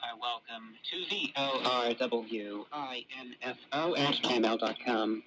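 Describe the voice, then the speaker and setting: A man's voice: mid-range voice, slow pace, dull audio. A man hosting a radio show, heard over a noisy shortwave broadcast. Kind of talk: radio music request show talk.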